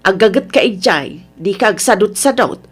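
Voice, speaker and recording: A woman's voice: medium pitch, lively intonation, normal speed, slightly rough. A woman acting a firm, humble middle-aged mother with an Ilocano Filipino accent, scolding and advising in a motherly way in an audio drama. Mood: exasperated.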